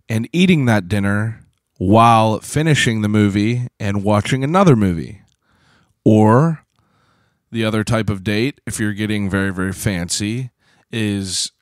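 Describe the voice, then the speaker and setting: A man in his thirties from Pittsburgh, hosting a podcast in a casual, rambling solo talk, hoarse from shouting, swearing freely and filling gaps with "uh". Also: lowish voice, no echo, clean studio sound. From Pittsburgh, USA. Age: 30s. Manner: rambling, profane, self-deprecating; frequent "uh" fillers and throat clearing. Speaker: podcast host.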